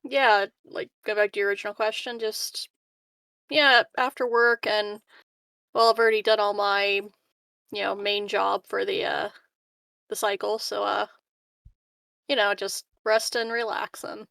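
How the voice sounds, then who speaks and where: Girl playing a tabletop rpg, chatty, kinda tentative, lots of vocal fry, dry close sound. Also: medium pitch, level tone, a little slow, long pauses, a bit bright, no echo. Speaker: tabletop roleplaying player. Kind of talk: casual chat, speaking in character.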